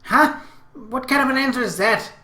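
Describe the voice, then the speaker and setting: A man's voice: lively intonation, fairly quick, sharp tone, reverberant, exaggerated voice. A man voicing a whiny, clingy little anime sister in a dramatic, girlish voice, reading from a visual novel. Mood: irritated. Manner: sulky, dramatic, high put-on girlish voice.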